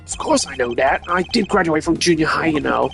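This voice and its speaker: A man voicing a whiny, excitable, lovestruck young man, a hapless murder suspect in a video game, blustering and indignant. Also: a little high, a little fast, gravelly, faint music behind. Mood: indignant, offended. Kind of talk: hammy video game dub.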